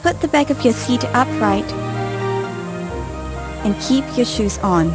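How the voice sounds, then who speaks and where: A woman giving a scripted safety briefing in a clear, calm instructional way, recorded as a studio voice-over. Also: a little high, slow pace. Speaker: safety video narrator. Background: music bed.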